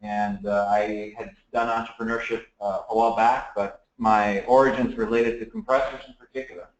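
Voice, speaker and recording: A man from the Dominican Republic giving a relaxed technical talk at a seminar, with many fillers and restarts. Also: on the low side, normal speed, highs cut off. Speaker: guest speaker. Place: seminar room, echoey. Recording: echoey room.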